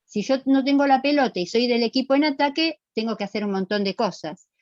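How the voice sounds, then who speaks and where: A woman's voice: on the high side, fairly quick, no echo, highs cut off. A middle-aged woman with a Rioplatense Argentine accent lecturing to students in a didactic, emphatic way, checking they follow with short questions. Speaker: physical education lecturer.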